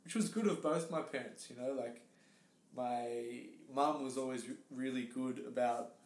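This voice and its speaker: A man with an Australian accent, a guest telling his story in casual interview chat, relaxed and hesitant with many fillers. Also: medium pitch, normal speed. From Tasmania, Australia. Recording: roomy echo.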